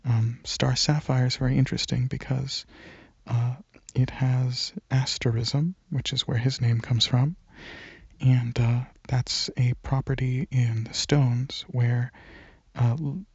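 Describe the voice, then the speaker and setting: Young male ASMR rambler: hushed, hesitant, breathy and unhurried, on a dull, close-miked lo-fi recording. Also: mid-range voice, flat delivery, no room sound. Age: young adult. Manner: soft-spoken, hesitant, drifting into near-whispers. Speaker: ASMR channel creator.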